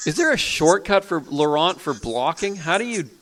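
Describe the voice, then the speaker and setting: A man hosting a call-in show, taking calls briskly and waving off the pranksters. His voice sits a little high, and his tone stays dry and matter-of-fact. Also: big pitch swings, music in the background.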